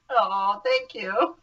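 Animated, bright woman with a Harlem accent; effusive guest, distant lo-fi sound. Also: sits high. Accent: New York (Harlem). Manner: giggly, effusive. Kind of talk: casual chat. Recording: distant dull lo-fi audio.

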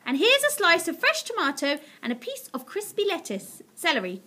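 A woman voicing a sweet, excitable, adoring lady in a children's story, gushing and emphatic. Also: extremely high pitch, normal speed, voice pushed up, full character voice. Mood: doting.

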